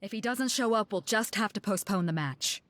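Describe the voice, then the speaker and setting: An actress playing a spirited, confident and showy tournament announcer in an anime-style video game. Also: female voice, mid-range voice, normal speed, fairly bright tone, no room sound, lightly acted. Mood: impatient, worried.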